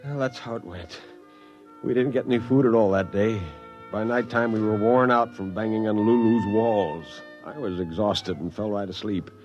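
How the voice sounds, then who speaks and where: A man's voice: fairly low pitch, moderate pace, muffled recording, natural voice. Man as a wry spaceman, faint music behind. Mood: weary, narrating. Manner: colloquial, wisecracking. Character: wry, streetwise spaceman telling his own tale. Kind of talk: 1950s radio drama narration and dialogue.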